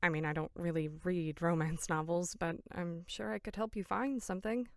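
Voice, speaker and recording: A woman's voice: on the low side, fairly even intonation, normal speed, no echo, clean studio sound, close to an everyday voice. A woman playing a shy, earnest, bookish young female counselor in an audio drama, hesitant and sincere. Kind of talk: horror audio drama acting.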